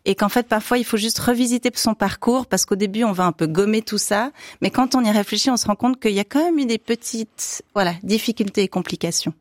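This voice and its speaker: A woman taking part as a guest on a radio discussion, speaking in a thoughtful, expressive way. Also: mid-range voice, brisk, few pauses, no room sound, studio quality.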